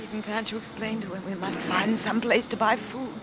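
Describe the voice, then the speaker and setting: A woman's voice: medium pitch, fairly even intonation, fairly quick, background noise, lightly acted. An actress playing a despairing, weary woman fleeing war, plaintive and dramatic, in an old radio drama. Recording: vintage radio recording, loud music behind.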